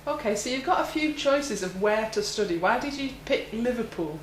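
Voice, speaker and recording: A woman's voice: fairly high pitch, lively intonation, normal speed. A woman interviewing students, asking brief, friendly questions. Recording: echoey room, faint noise.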